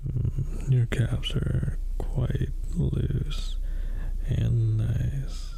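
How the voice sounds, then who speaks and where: A man playing a flirtatious, dominant massage therapist in an intimate ASMR role-play, in a breathy, gravelly whisper with murmured hums and hesitant pauses. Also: mid-range voice, little pitch movement, warm tone, slight background noise, exaggerated voice. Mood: slow, suggestive.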